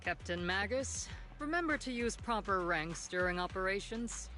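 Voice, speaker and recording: A woman voicing a stern military colonel in a video game, measured and formal as if briefing troops. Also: medium pitch, lively intonation, bright, forceful tone, faint music behind. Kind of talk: scripted video game acting.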